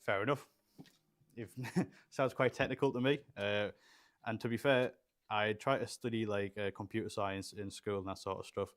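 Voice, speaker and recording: Young male streamer, lower voice, casual and loose, lots of fillers, small studio. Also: fairly even intonation, moderate pace. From Poland, raised in England. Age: young adult. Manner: chatty, rambling with fillers, reads chat aloud. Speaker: livestream host building gaming PCs. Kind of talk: casual livestream chat. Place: small studio room with shelves.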